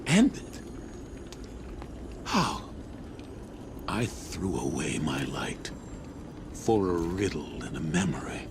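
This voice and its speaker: An actor playing a grieving, broken commander in a video game, speaking gravely and with anguish. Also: male voice, very deep voice, very animated, slow, pauses often, noisy background, heavily acted. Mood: bitter despair. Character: grieving, broken older male commander, solemn warrior. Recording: dramatic music behind the voice.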